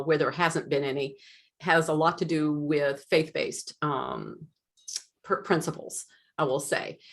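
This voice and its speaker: A middle-aged woman hosts an interview over a video call in a small home room. Her voice sits low, and she talks in a friendly, chatty way, winding into her questions. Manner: friendly, chatty, builds long lead-in questions. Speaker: podcast host. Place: home room with a light blue wall. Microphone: video call webcam.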